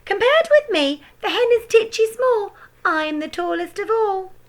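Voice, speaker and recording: A woman voicing a bossy, shouting old grandmother in a storybook reading, shrill and cackling. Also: wildly swooping pitch, measured pace, exaggerated voice. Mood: gleeful shouting.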